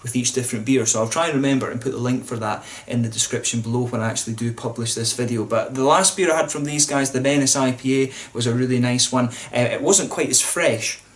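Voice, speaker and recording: A man talks quickly and casually to camera while reviewing a beer at home. His voice sits at a middle pitch, and he fills the talk with fillers and restarts as he goes. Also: fairly even intonation. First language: English. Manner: chatty, informal, many fillers and restarts.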